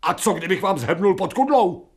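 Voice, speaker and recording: Coarse dog-man, insolent, whining, slangy, lively pitch, radio play. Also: slightly rough. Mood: defiant. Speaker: Sharikov. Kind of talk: radio drama acting.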